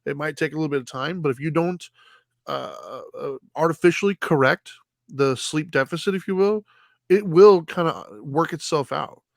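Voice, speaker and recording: A man co-hosting a casual talk show, explaining sleep habits in an easygoing way, often halting and restarting his sentences. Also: a little high, vocal fry, no room sound, studio quality. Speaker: podcast co-host.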